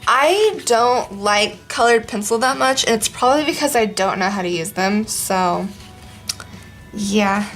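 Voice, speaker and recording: A young woman chatting casually in her living room as a vlog host, rambling with lots of ums and a voice that is going hoarse. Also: fairly high pitch, expressive, a little slow, soft background music. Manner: rambling, many ums, laughs often. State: losing her voice. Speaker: art vlogger. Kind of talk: casual chat.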